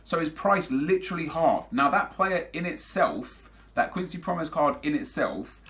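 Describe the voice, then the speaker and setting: A man's voice: fairly high pitch, expressive, unhurried, fairly bright tone. A young man with a British accent, talking casually and argumentatively as a gaming video host, answering viewer comments and correcting himself mid-sentence. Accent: British English. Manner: rambling, argumentative, self-correcting.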